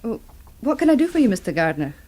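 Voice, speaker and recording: A woman's voice: on the high side, very animated, fast talker, mellow. An actress playing a frank, good-natured, motherly middle-aged village widow in a radio drama, homely and forthright. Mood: welcoming, brisk.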